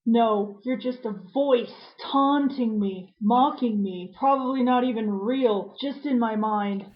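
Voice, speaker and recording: A distraught, frightened mother in an audio drama. Her voice is on the high side and she speaks slowly and haltingly, full of anguish. The sound is a little dull and lo-fi. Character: despairing, frightened mother on the edge. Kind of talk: superhero audio drama acting.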